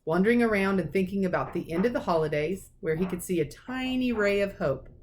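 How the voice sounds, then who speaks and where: A woman reading a children's storybook aloud as a teacher, with expressive narration. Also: medium pitch, a little fast. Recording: clearly noisy recording with clicks.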